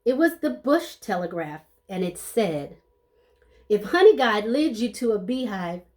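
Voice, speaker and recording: A woman's voice: medium pitch, big pitch swings, unhurried, a little mellow. A woman reading a children's story aloud expressively, playing up the sound words and adding short asides to her listeners. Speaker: storybook reader.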